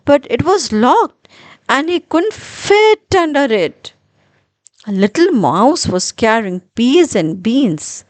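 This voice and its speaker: A woman narrating a children's story in an expressive, deliberate storytelling manner with dramatic pauses. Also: fairly high pitch, no room sound.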